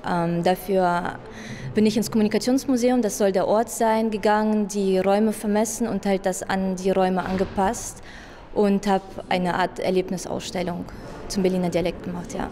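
Young woman with a mellow voice, hesitant. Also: mid-range voice, fairly even intonation, normal speed, slight background noise. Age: 20s. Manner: hesitant, many fillers and restarts. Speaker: design graduate. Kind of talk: interview at an exhibition. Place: indoor exhibition hall. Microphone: handheld interviewer mic.

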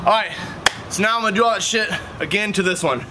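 A man's voice: high-pitched, fast, very noisy. A man making a casual do-it-yourself vlog, swearing often and laughing at himself while he works. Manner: laughing, swearing, self-mocking. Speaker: vlogger. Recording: loud background music.

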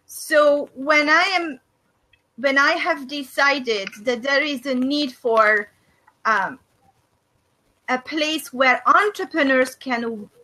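A middle-aged woman from Hungary with a Hungarian accent, hosting a faith and business video call and speaking thoughtfully with many pauses and fillers. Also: high voice, bright, forceful tone, rough recording. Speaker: host of a faith and business discussion. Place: small room indoors. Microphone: webcam on a video call.

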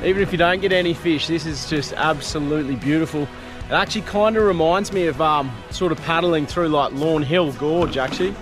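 A man's voice: a little high, a little fast, over music. An Australian man in his thirties, hosting a camping vlog outdoors by a creek, chatting casually with teasing banter and laughter. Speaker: vlog host.

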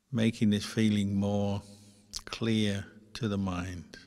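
A man leading a guided meditation as a monk, speaking gently in short phrases with long, unhurried pauses. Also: fairly low pitch, creaky. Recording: long hall-like reverb.

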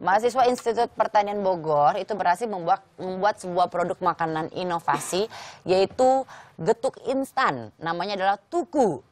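A woman's voice: mid-range voice, moderate pace. A young adult woman co-hosting in a TV studio, chatting and reading out trivia. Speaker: TV show co-host. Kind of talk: lively studio chat and reading out food trivia. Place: TV studio kitchen set, indoors.

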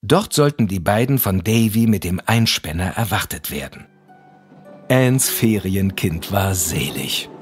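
Low, expressive male storyteller. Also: measured pace, slightly rough. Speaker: narrator. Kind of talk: scripted audio drama narration. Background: music with harp.

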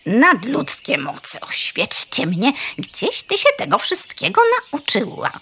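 A woman's voice: a little high, huge pitch swings, takes it slowly, bright, forceful tone, slightly rough, heavily acted. A woman playing a gossipy, frightened farmyard hen in a children's audio play, melodramatic and full of stuttering clucks. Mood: amazed.

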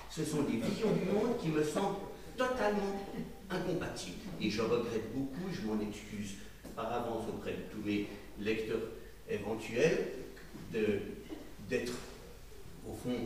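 A man speaking at a public literary debate in a deliberate, rhetorical, ironic manner. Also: medium pitch, a little mellow. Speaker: audience member and journalist reading questions. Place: small dim event venue with audience. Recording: distant, very echoey room, faint noise.

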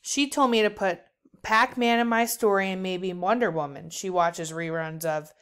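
Smooth-voiced woman reading a children's book. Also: medium pitch, fairly even intonation, normal speed, fairly bright tone. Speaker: read-aloud storyteller.

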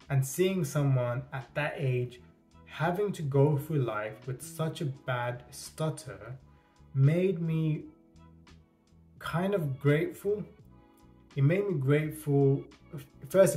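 A man's voice: medium pitch, a little mellow. A man in his thirties who stutters, talking casually to camera in a small indoor room, often repeating words and restarting. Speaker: vlogger.

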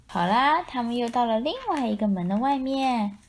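一位女性用富有表现力的方式给孩子朗读绘本故事。. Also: female voice, fairly high pitch, animated, normal speed. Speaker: storyteller. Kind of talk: expressive children's picture book narration.

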